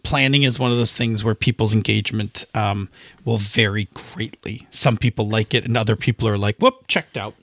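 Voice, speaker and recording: A man co-hosting a podcast, giving advice informally and often checking in with a quick tag question. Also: lowish voice, fairly bright tone, no room sound, clean studio sound. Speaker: podcast co-host. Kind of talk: casual, conversational discussion and advice.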